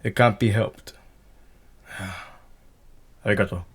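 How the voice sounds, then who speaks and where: A man's voice: lowish voice, fairly even intonation, slow. A man playing a polite, kindly young warrior in an audio drama, with a courteous manner. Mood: resigned. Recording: clearly noisy recording.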